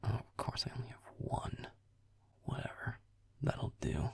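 A man playing a grumpy young werewolf boyfriend in a roleplay audio, muttering deadpan, sarcastic, sweary video game commentary in a breathy, gravelly half-whisper. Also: a little slow, no echo, natural voice. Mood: muttering, unimpressed. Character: grumpy young male werewolf boyfriend, gamer, sulky and aloof.

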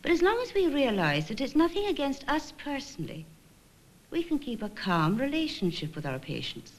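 Middle-aged nurse in charge, kind and wise, really varied pitch, old 50s film sound. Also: female voice, sing-song, low-quality recording. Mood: patient, reassuring. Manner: measured, gently questioning, instructive. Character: patient, kindly, wise middle-aged ward sister. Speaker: senior nurse leading a staff discussion. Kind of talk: 1950s instructional film acting.

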